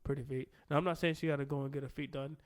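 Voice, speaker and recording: A man's voice: medium pitch, level tone, rapid-fire. A young man from Richmond, Virginia, co-hosting a comedy podcast in loose casual chat, laughing often and rambling through restarts. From Southside Richmond, Virginia. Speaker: podcast co-host.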